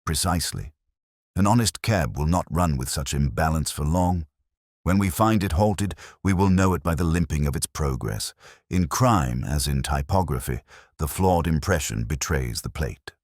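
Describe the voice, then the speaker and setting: A man voicing a brisk, precise, keenly observant detective who lectures in an audiobook story. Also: extremely low pitch, animated, no echo, clean studio sound. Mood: brisk, lecturing. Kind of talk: audiobook character dialogue.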